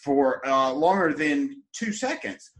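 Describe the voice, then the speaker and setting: A man's voice: on the high side, expressive, takes it slowly, a bit bright. A man hosting a podcast interview, asking rambling questions in a casual way and swearing freely. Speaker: podcast host.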